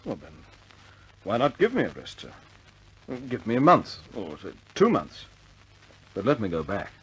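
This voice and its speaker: An earnest young British airman in a 1950s war film. His slightly husky voice rises and falls sharply, with clipped, stammering phrases and respectful formality. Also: lowish voice, wildly swooping pitch, normal speed, full character voice, poor audio. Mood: pleading, indignant. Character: earnest, proud young RAF squadron leader.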